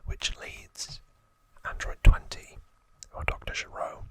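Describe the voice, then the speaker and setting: A man hosting ASMR, narrating trivia in a breathy, hushed whisper with unhurried pauses. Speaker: ASMR host.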